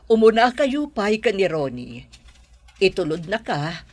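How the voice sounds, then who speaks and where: A woman's voice: medium pitch, big pitch swings, unhurried, a little mellow. A woman with an Ilocano accent playing a composed, authoritative, well-off mother in a radio drama.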